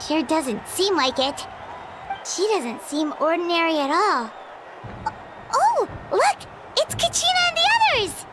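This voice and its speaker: A woman voicing a tiny, excitable, childlike fairy companion in a video game, chatty and prone to exclaiming. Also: measured pace, heavy background noise, full character voice. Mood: excited. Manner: squeaky, exclaiming, refers to self in third person.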